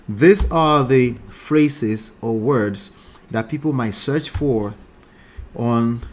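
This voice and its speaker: A man in his forties with a Nigerian accent, teaching a live-streamed online lesson in a casual, chatty way from a small dark room, wearing headphones at a desk mic. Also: mid-range voice, a little hiss or hum. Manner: casual, chatty, repeats himself, pleads with viewers. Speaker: online marketing coach.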